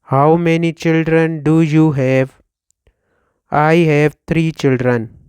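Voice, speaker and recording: Man in his thirties, Pakistani accent, Urdu first language, English lesson drill, very slow and clear, smooth voice, dry close sound. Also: a little high, level tone, a little mellow, no echo. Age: 30s. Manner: slow, deliberate, repeats each line for learners. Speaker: English teacher. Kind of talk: slow scripted question-and-answer drill.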